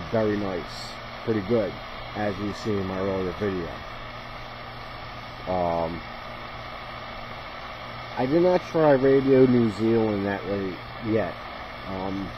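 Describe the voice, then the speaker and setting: A man casually narrating his radio hobby in a halting, unpolished, informal way. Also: on the low side, noisy background. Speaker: shortwave radio hobbyist and video host.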